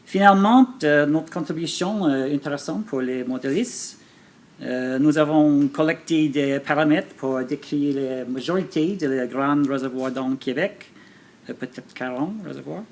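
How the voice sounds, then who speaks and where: A man with a somewhat high voice gives a research pitch at a conference. He is a native English speaker working in a second language, so he goes haltingly and hesitates often. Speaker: government researcher presenting a poster pitch. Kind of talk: conference presentation. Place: live forum presentation.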